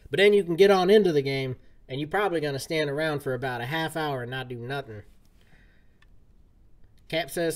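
A man, chatting casually and folksily with his chat while streaming a game. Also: on the high side. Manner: folksy cowboy-style talk. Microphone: desk mic, game audio from a speaker.

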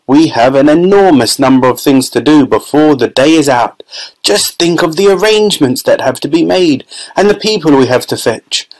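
Man putting on whimsical candy maker, giddy, high and bright, bursts of speech. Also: lively intonation, rough recording. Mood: delighted. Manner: giddy, exclamatory, fast bursts.

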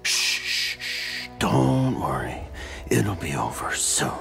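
An actor voicing a narcissistic, menacing caped superhero in a video game, with mocking, condescending sarcasm. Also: male voice, on the high side, wildly swooping pitch, takes it slowly, vocal fry, a bit bright, faint music behind, exaggerated voice. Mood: condescending menace. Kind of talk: video game fight taunts.

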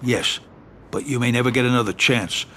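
An actor playing a composed, careful mob advisor in a game cutscene, measured and matter-of-fact. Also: male voice, medium pitch, steady tone, bright, forceful tone, slight background noise, natural voice. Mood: cautious, matter-of-fact. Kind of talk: scripted video game cutscene acting.

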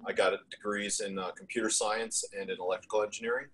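Low-voiced American man, halting delivery. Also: fairly even intonation, a bit bright, slight background noise. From New Jersey, United States. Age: middle-aged. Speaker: webinar co-presenter, investor. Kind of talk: informal self-introduction on a webinar. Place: indoors on a video call.

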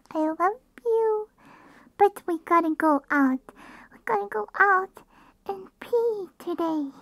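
Casting: playful, needy puppy girl, ASMR roleplay; extremely high register, very slow delivery, pleading whine. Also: a little mellow, no echo. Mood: affectionate, playful. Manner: whiny pleading with yips and giggles. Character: clingy, playful, whiny puppy girl pet. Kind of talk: ASMR roleplay, speaking to the listener.

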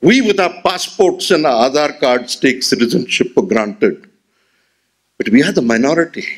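A man's voice: mid-range voice, moderate pace. A man in his sixties with an Indian English accent, giving a memorial lecture at a podium in an auditorium, speaking with rhetorical flair. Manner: expressive, rhetorical, gestures while speaking. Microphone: podium gooseneck mic.

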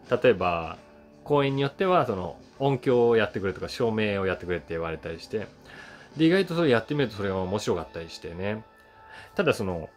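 A man in his forties casually reminiscing to camera in an animated, conversational way, acting things out with sound words. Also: medium pitch. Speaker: voice actor and YouTuber telling memories. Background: soft guitar music.

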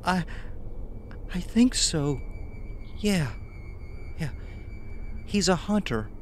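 A man voicing a shocked, frightened male survivor who stammers haltingly in a horror audio drama. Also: high voice, lively intonation, a little hiss or hum, voice pushed up, exaggerated voice. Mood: stunned hesitation.

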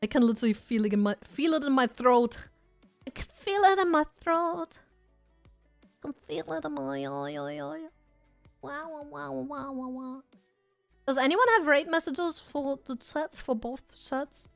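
A woman streamer chats in a rambling, self-deprecating way, her voice strained from overuse. Her voice sits a little high. Soft music plays behind her. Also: animated, a little slow, gravelly. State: losing her voice. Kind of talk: casual live-stream chat.

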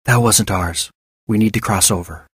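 A man's voice: lowish voice, husky, dry recording, clean studio sound. Man playing a polite, careful male doctor. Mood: calm caution. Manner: formal, polite, measured. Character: soft-spoken, polite, cautious male doctor in disguise.

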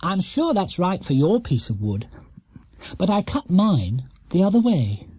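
A man's voice: high voice, huge pitch swings, measured pace, warm tone, breathy edge, no echo, pitched above the performer's own voice, heavily acted. A man voicing a polite, earnest young bear who speaks firmly and never gets flustered, in a children's storybook. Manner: polite and firm.

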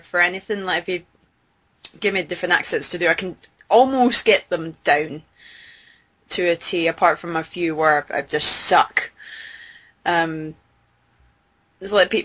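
A woman's voice: lowish voice, a bit bright. An English-born woman with a Scottish accent, chatting loosely on a voice call with lots of fillers. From England. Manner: chatty, rambling, with many fillers. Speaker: interview guest. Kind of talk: casual chat on a voice call. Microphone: video call.

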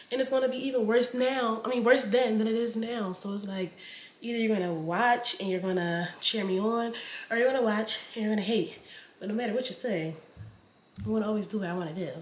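A woman's voice: medium pitch, echoey room, a little hiss or hum. A woman in her twenties chatting straight to her viewers in a casual vlog, blunt and talkative, filmed at home. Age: 20s. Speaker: vlogger. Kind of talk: casual vlog chat to camera. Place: indoors in a home room.

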